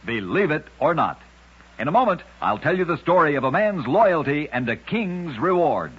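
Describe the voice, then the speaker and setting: A man hosting an old-time radio program, narrating scripted strange tales in a dramatic, deliberate storyteller's delivery. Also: on the high side, very animated, a bit bright, breathy edge. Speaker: radio host and narrator of oddities. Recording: dull lo-fi vintage radio recording.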